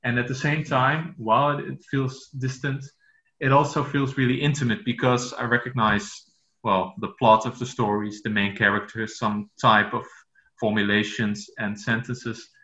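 A man's voice: medium pitch, little pitch movement, moderate pace, bright, forceful tone. Hesitant Dutch man, roomy muffled sound. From Netherlands. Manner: hesitant, many fillers and restarts. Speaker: author guest. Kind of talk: podcast interview.

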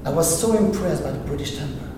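A man's voice: on the high side, quick pace. A man from France with a French accent, giving a wry, emphatic public speech at a forum launch. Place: large event hall. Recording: echoey hall from far off, noisy.

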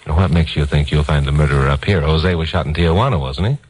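A man's voice: rumbling low, fast talker, a little mellow, husky. An actor playing a wry, flirtatious tough investigator with an American accent in a 1950s radio drama, telling his own adventure in laconic style. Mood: curious, probing. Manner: wry, laconic, hard-boiled. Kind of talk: 1950s radio drama acting and first-person narration. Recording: 1950s radio recording with music behind.

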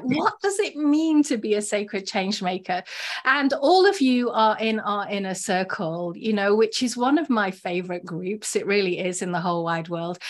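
A woman hosting a podcast in an upbeat, effusive way, laughing often. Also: mid-range voice. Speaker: podcast host.

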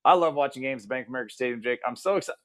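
A man's voice: medium pitch, animated, rapid-fire, fairly bright tone. Man with a scratchy voice, talking football. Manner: upbeat, chatty. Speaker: football coach and regular guest. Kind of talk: casual sports talk.

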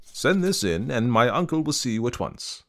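A harried young gentleman in his thirties , as a male narrator plays him, pressing his points with earnest, exasperated emphasis. His voice moves widely in pitch, lively and animated, in a storybook reading. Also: normal speed.

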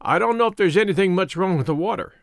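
A man's voice: high voice, animated, fast talker, no echo, clean studio sound. A man voicing a grave, superstitious old shepherd with rustic English dialect, in a storybook reading. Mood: grave warning. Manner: dialect speech, solemn warnings.

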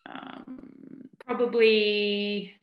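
A middle-aged Australian woman from Newcastle, a former basketball player, answering interview questions in a chatty, animated way with lots of ums and restarts. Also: mid-range voice, extremely slow, muffled recording, a little hiss or hum. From Newcastle, New South Wales, Australia. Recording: echoey room.

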